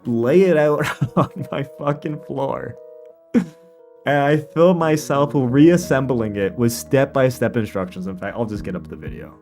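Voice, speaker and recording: A man live streaming in casual, informal chat, repeating himself excitably and swearing now and then. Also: medium pitch, measured pace. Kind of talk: casual livestream chat, commenting on own video. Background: quiet lo-fi music.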